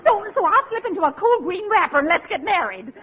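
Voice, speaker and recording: A woman's voice: very high-pitched, big pitch swings, a little fast, over a noisy crowd. An actress playing a man-hungry, lovesick spinster in a 1940s radio comedy. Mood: coaxing, lovestruck. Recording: noisy dull 1940s radio, loud audience.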